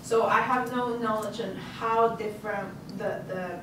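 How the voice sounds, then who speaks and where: A woman's voice: mid-range voice, steady tone, a little slow, background noise. A woman whose first language is Spanish, asking a hesitant question from the audience with many restarts. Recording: distant voice in echoey room.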